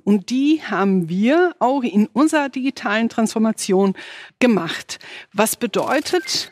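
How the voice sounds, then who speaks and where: A woman's voice: on the high side, darkish tone, dry recording, studio quality. A woman with a non-native German accent giving a conference presentation. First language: not German. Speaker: conference speaker, engineering manager. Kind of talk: presentation talk.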